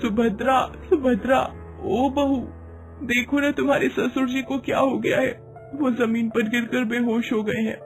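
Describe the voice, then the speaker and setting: A man doing a shrill, wailing two-faced aunt. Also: little pitch movement, unhurried, faint music behind. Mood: feigned panic. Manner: melodramatic wailing.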